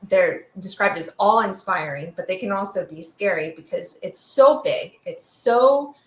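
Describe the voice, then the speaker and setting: A woman's voice: medium pitch, expressive, measured pace. A woman speaking as a guest expert in an interview, explaining ideas in a conversational way. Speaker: guest expert, fear sociologist. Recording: roomy, echoey sound.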